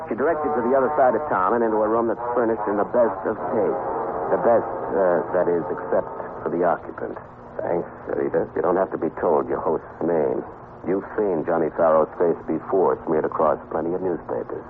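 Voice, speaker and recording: Tough American detective, low voice, fast patter, wry, hard-boiled, 1950s radio crime drama. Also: male voice. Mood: hard-boiled narrating. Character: tough, wisecracking male private detective, hard-boiled and unfazed. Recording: muffled, very noisy 1950s radio recording.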